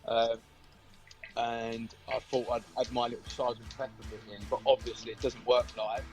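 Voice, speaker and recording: A man with a mid-pitched voice talks casually in an interview about his band. Faint music plays behind him. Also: flat delivery, normal speed, a little mellow, rough recording. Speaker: band member being interviewed.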